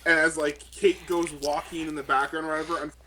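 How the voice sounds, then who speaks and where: A woman playing a tabletop game, chatting casually and role-playing, often halting and correcting herself. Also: deep voice, little pitch movement, background noise.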